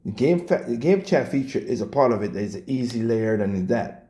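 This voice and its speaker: A man with a scratchy, hoarse voice, ranting casually in reaction to clips, blunt and swearing, stumbling and repeating his words. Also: mid-range voice, lively intonation, fairly quick, dark tone. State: hoarse, coughing. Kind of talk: casual rant.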